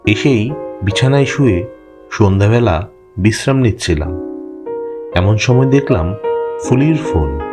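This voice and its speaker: A man's voice: fairly low pitch, expressive, unhurried, pauses often. A man reading scripted, dramatic first-person narration for a horror cartoon. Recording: clear music bed behind narration.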